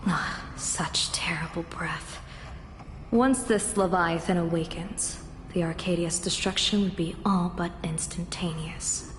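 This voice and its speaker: Composed, low-voiced woman general, played by an actress. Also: fairly even intonation, a little slow, a little hiss or hum, close to an everyday voice. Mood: wry, composed. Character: poised, shrewd female general, calm and wryly amused. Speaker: military commander. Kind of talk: video game voice acting. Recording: roomy echo with game music behind.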